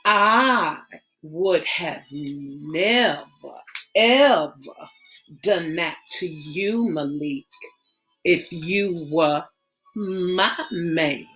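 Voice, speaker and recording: Woman voicing an eager scheming friend, high pleading tone, emphatic, slow. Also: fairly bright tone, soft background music, poor audio.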